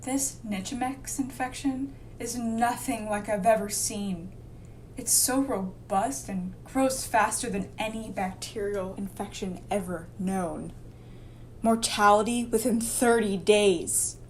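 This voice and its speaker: A woman's voice: medium pitch, takes it slowly, slight background noise, close to an everyday voice, rough recording. A woman playing a determined, serious infectious-disease doctor in a radio drama. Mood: grave, explaining. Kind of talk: amateur radio drama dialogue.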